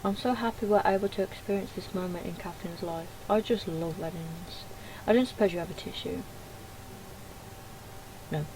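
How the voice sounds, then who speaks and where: Lower-voiced woman reading over noticeable noise. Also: normal speed, pauses often. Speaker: gaming video creator. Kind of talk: reading game dialogue aloud with casual commentary. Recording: clearly noisy home recording.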